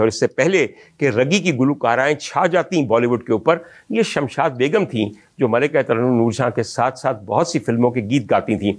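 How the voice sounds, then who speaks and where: A man from Pakistan, telling the history of old film songs in an ornate, reminiscing manner and speaking directly to his audience. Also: medium pitch. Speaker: film music historian host. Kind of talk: storytelling music history talk.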